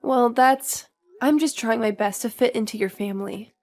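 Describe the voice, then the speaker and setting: A woman playing a patient, tired but dutiful young newlywed wife in a scripted audio drama, speaking in a measured, polite conversational way. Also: on the high side, darkish tone, soft background music, close to an everyday voice. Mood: sympathetic.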